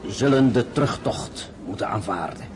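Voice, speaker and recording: An actor as a low-voiced, suave court diplomat. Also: male voice, animated, over music, lightly acted. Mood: grave, discreet. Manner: measured, courtly, insinuating. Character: suave, shrewd male diplomat at the imperial court. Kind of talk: radio play acting.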